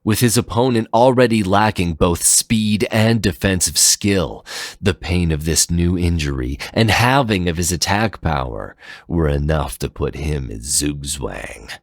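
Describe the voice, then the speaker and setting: A man's voice: low-pitched, measured pace, no room sound, clean studio sound. A man reading fan fiction as a dramatic, scripted story narration.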